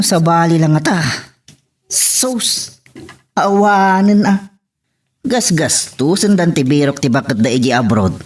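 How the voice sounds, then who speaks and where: A man's voice: fairly high pitch, distant mic. A man playing a frank drinking buddy in an amateur audio drama, talking conversationally and giving advice.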